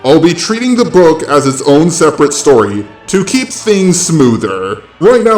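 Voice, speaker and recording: A man's voice: mid-range voice, a little mellow. Male host for scripted explainer narration, mid-range voice, roomy echoing sound. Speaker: video host.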